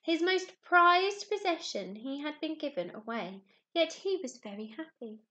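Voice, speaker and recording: A woman's voice: sits high, measured pace, dull audio. A woman, a primary teacher reading and chatting expressively to young children. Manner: expressive, addressing children with questions.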